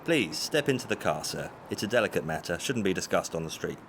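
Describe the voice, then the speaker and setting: An actor playing a discreet, courteous Scotland Yard detective and bodyguard in an audio drama, polite and official. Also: male voice, low-pitched, expressive, brisk, a bit bright. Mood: guarded, courteous. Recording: clear background vehicle noise.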